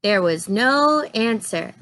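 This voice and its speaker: Slow, animated woman reading a children's story. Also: a little high, background noise. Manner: expressive, deliberate. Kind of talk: read-aloud narration of a children's story.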